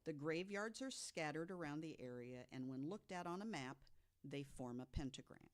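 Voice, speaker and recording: A podcast co-host telling stories from notes to the others in a deliberate way, with emphatic asides. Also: mid-range voice, expressive. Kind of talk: storytelling from notes to co-hosts.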